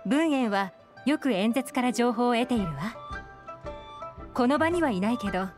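A woman's voice: on the high side, lively intonation, moderate pace, natural voice. A woman voicing a cool, commanding young female intelligence chief in a game, composed and self-assured. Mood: knowing, assured. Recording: background music under the voice.

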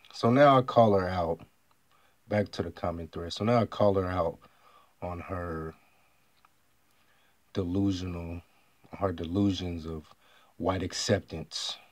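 A man from the United States talking straight to his audience about an online argument. He speaks emphatically and often clears his throat. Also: low-pitched, slow pace, pauses often, dry recording. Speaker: vlogger. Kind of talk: monologue retelling an online argument.

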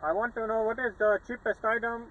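A man's voice: extremely high pitch, flat delivery, fairly quick, narrow, tinny audio. A young man ordering casually at a drive-thru from inside a car, with a polite, hesitant manner. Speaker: prankster customer at drive-thru windows. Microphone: camera inside the car. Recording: noisy car with engine and street ambience.

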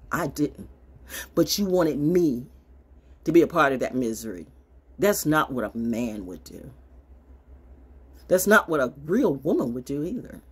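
An older woman vlogger ranting casually to camera in a brash, theatrical, confrontational way, with a husky voice, recorded on a phone in a small room. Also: sits low. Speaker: online vlogger. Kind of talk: casual rant to camera with card reading. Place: small wood-panelled room indoors. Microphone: phone at arm's length.

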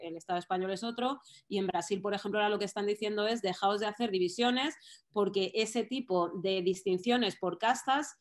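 Hesitant woman on a video call. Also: medium pitch. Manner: thoughtful, with many hesitations and fillers. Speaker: author guest. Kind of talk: casual chat on a video call. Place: small room at home. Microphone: laptop webcam on a video call.

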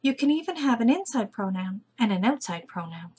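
A woman reading a children's picture book aloud as a storyteller, with deliberate, careful phrasing. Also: fairly high pitch, very animated, darkish tone, husky.

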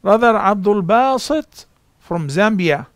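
A middle-aged man calmly answering callers' questions on a live call-in show, speaking outdoors in a garden on a lapel mic. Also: high voice, takes it slowly, no room sound, studio quality. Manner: calm, explanatory, gestures while talking.

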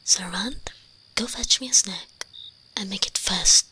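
An imperious vampire queen acted by a woman whispers slowly and deliberately in an ASMR roleplay. Her breathy voice has a crisp, bright edge, and she is teasing, seductive and used to being obeyed. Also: medium pitch. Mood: commanding, condescending. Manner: slow, commanding, condescending, seductive.

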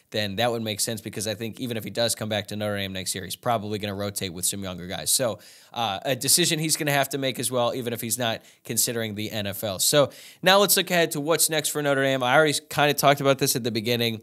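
Young guy podcasting about football, chatty, lots of uh and like, mid-pitch voice. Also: fast, a bit bright. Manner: casual, conversational, many "uh" and "like" fillers. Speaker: podcast host. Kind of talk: solo postgame sports analysis. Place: small room, indoors. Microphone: studio microphone in front of him.

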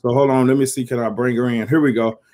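A man's voice: medium pitch, steady tone, quick pace, a little mellow. A man, hosting a casual livestream interview over a video call from a small home office, talking with lots of energy and hyping up his guest. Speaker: host. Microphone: webcam on a video call.

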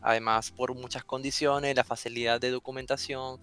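A man's voice: medium pitch, level tone, moderate pace, fairly bright tone, clear, resonant tone, soft background music. Venezuelan man with Argentine-tinged Spanish. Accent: Venezuelan Spanish with an Argentine lilt. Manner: chatty, rambling with frequent restarts. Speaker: guest. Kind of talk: casual interview chat. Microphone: headset.

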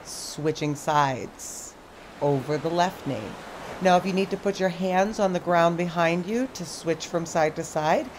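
A middle-aged woman teaching a stretching class outdoors on a sandy beach, calmly cueing each movement step by step. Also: on the low side, unhurried. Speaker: stretch instructor. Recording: outdoor surf noise behind the voice. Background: ocean waves.

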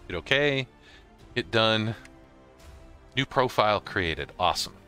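A middle-aged man explaining software step by step in a casual, informal tutorial style. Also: lowish voice, animated, measured pace, faint music behind. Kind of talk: casual screen-recorded walkthrough.

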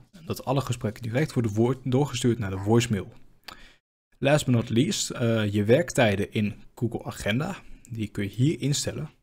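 A man narrates a software walkthrough in an informal tutorial style. His mid-pitched voice has a slightly husky edge as he explains things step by step.

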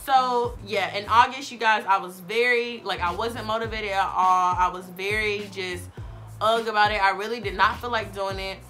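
A woman's voice: medium pitch, fairly even intonation, normal speed, pushed and bright, faint music behind. A young woman vlogging to camera from her bedroom, chatty and encouraging, slightly sniffly while getting over a cold. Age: young adult. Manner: chatty, encouraging, addresses viewers as you guys. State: recovering from illness, sniffly. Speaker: vlogger, college student. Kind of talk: casual advice vlog to camera. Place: bedroom, sitting on a bed.

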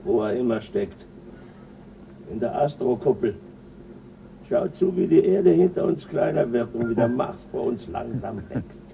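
An actor playing a crude, boastful male crewman on a spaceship, coarse and quick to flare up, in an old radio play. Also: mid-range voice, animated, creaky, warm tone, recorded from a distance, lo-fi sound, a little hiss or hum. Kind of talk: 1970s radio play acting.

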